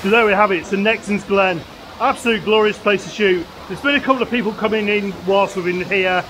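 A man's voice: sits high, fairly quick, background noise. A man in his forties from the UK, a landscape photographer vlogging outdoors in a wooded glen, talking casually with lots of fillers and restarts into a camera held at arm's length. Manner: chatty, rambling with many fillers and restarts. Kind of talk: casual vlog narration. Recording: with music playing underneath.

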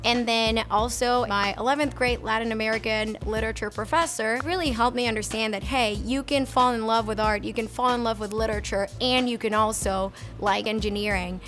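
A woman in her thirties from Puerto Rico, an engineer answering interview questions with clear, earnest explanations, recorded on an earbud mic in a lab storeroom. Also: on the high side, fairly even intonation, a little fast, few pauses, fairly bright tone. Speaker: research and development engineer. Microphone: earbud headset mic. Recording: music bed underneath.

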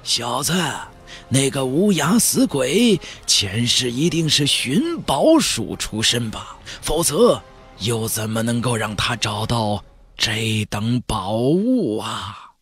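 An old spirit mentor from a fantasy audiobook, acted out by a man. He is teasing and mocking, drawing out his words with huge swings of pitch in a rough-edged voice. Faint music plays underneath. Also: big pitch swings, fairly bright tone, full character voice. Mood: astonished, mocking. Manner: drawn-out, mocking exclamations. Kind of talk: audiobook character voice.

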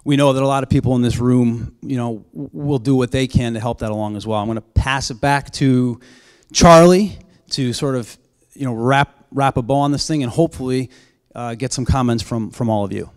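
Smooth-voiced man pitching an idea. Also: a little fast, a little mellow. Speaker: presenter. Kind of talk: public presentation. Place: library auditorium. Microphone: handheld mic.

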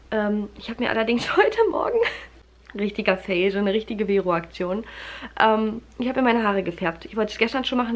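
A woman in her thirties with a stuffy nose from a cold, chatting casually to the camera in her living room, full of fillers and friendly asides to viewers. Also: mid-range voice. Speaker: family vlogger. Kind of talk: casual vlog chat to camera. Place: living room at home, indoors. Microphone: camera held close at arm's length.

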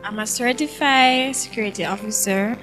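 A woman's voice: fairly high pitch, moderate pace. A young Jamaican woman, a trainee, giving a plain, slightly shy testimonial into a handheld mic indoors. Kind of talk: short testimonial. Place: indoor room, seated. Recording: background music.

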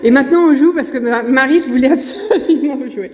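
Woman, middle-aged, conference presenter, hesitant, fairly high voice, long hall reverb. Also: a little fast, a little mellow. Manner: hesitant, many fillers and restarts. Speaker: conference speaker. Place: conference hall stage at a lectern. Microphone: lectern microphone.

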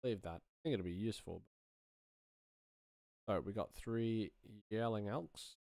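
Deep creaky man thinking aloud. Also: measured pace, a little mellow. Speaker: sound designer, presenter. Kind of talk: casual think-aloud tutorial commentary while working.

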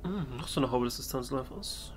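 A man's voice: medium pitch, lively intonation, a little fast, a little hiss or hum. A man giving playfully ironic, in-character commentary as a let's play host. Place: voice-over on game footage.

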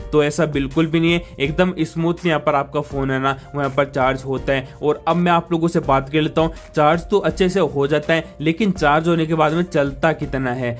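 A man giving an informal tech review to viewers, explaining things in a wordy, repetitive way with constant filler phrases. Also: on the high side. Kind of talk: informal phone update review addressed to viewers. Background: background music.

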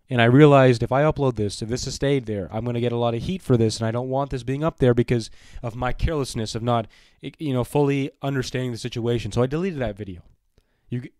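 Young male vlogger, voice going hoarse, rambly, dry close sound. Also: medium pitch, darkish tone, no echo. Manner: rambling, frequent fillers and throat clearing. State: losing his voice. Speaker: wrestling news vlogger. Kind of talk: casual news vlog.

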